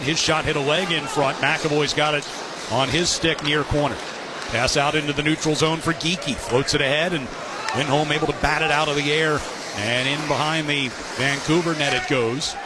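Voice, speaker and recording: Higher-voiced man calling hockey over loud noise. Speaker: play-by-play radio announcer. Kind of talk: live ice hockey radio commentary. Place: sports arena broadcast position. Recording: live arena broadcast with loud background noise. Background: loud arena noise.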